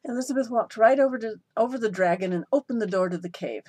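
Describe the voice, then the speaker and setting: Husky-edged woman reading a children's story. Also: fairly high pitch, fast talker, darkish tone. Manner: playful asides to the listener. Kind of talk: expressive picture-book read-aloud.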